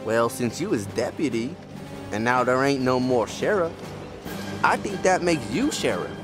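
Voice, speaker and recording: A man's voice: mid-range voice, expressive, moderate pace, music in the background, lightly acted. An actor playing a simple, hesitant townsman in an audio play, with a Southern US accent. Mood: plain, wary. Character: simple, folksy male townsman bringing the deputy bad news.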